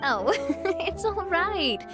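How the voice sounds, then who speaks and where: A gracious young lady leader in a video game. The voice is high and upbeat, swinging expressively in pitch, with clear music underneath. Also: big pitch swings, drawn-out words, heavily acted. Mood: kind, reassuring. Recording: music behind the voice.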